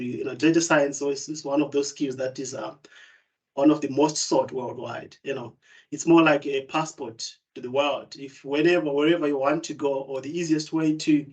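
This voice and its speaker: A man's voice: a little high, darkish tone. A young man from Zimbabwe, a student, presenting on a video call with many fillers and restarts. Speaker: student speaker. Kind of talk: online open day presentation.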